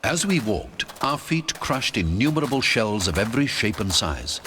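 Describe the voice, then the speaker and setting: A man's voice: fairly low pitch, sing-song, normal speed, background noise. A man narrating an adventure story in a dramatic, theatrical scripted style.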